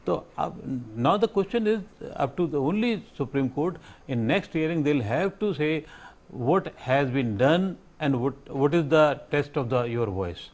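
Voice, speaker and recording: A man with an Indian accent giving his views as a panel guest, halting and searching for words. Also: a little high, lively intonation, darkish tone. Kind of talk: panel discussion commentary.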